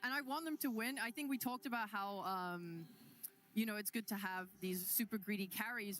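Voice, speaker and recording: High-voiced woman as casual esports analyst. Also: a bit bright.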